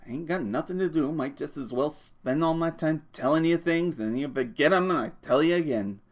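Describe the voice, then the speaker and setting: A man's voice: fairly high pitch, fairly quick, voice pushed up, natural voice. A man voicing a small, irritable working man who scolds and swears, from a storybook. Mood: exasperated. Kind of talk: audiobook character voice.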